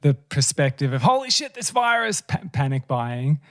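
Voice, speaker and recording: A man's voice: on the high side, very animated, normal speed, fairly bright tone. Earnest male podcast host. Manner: earnest, thoughtful questions. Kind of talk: casual interview chat.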